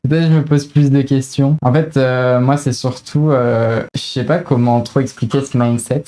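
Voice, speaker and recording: Young woman guest, 20s, casual slangy chat full of hesitations, mellow low timbre. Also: fairly even intonation, moderate pace. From France. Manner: hesitant, many fillers, youthful slang.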